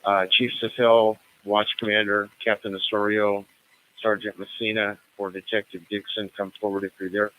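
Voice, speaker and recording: Bright-voiced American man, flat radio roll call. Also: lowish voice, normal speed, low-quality recording. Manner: formal, procedural. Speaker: net control operator running roll call.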